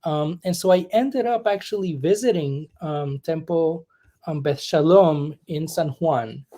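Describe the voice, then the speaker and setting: A young man from Puerto Rico, a humanist chaplain being interviewed, who talks in a reflective, searching way with many pauses and asides. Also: a little high, warm tone. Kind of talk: conversational interview.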